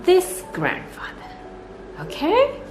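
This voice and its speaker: A woman reading a children's story aloud as a nursery storyteller, in an expressive, sing-song way meant for young children. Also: extremely high pitch, slow pace. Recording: roomy echo, music underneath.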